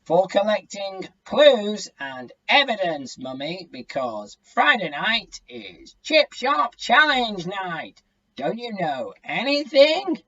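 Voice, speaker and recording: A reader voicing an excitable, blunt young boy, in a breathy, insistent storybook voice. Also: medium pitch, slow, muffled recording, voice pushed up, exaggerated voice. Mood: impatient.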